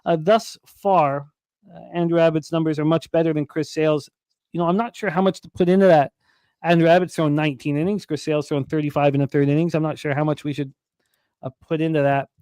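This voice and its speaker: A man hosts a sports betting broadcast, his voice a little high and smooth. He runs through odds and stats in a steady patter with filler words. The sound is dry and close to the mic. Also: a little fast, dry recording. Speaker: sports betting show host. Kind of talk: sports betting radio talk.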